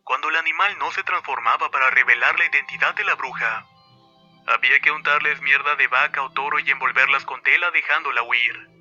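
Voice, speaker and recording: Bright-voiced male horror narrator. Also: mid-range voice, muffled recording. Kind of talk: scripted narration of a horror story.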